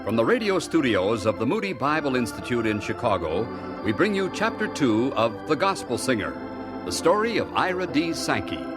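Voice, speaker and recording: A man with an American accent announcing an old radio drama in a formal, deliberate manner. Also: mid-range voice, very animated. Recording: vintage radio recording, loud organ music. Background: loud organ music.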